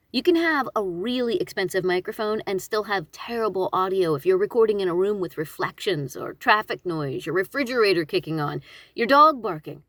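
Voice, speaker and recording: A woman audiobook narrator hosting her own channel, giving informal instructional talk in an expressive, conversational and emphatic way, with self-deprecating asides. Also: medium pitch, no room sound.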